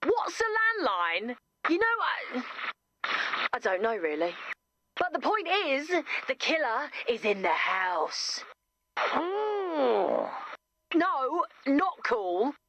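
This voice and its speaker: A chipper video game camp counselor. Her voice is fairly high and lively, rising and falling theatrically like a campfire storyteller, recorded close and dry. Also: takes it slowly, no echo, exaggerated voice. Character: chipper, theatrical female camp counselor telling spooky stories. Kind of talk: video game character acting.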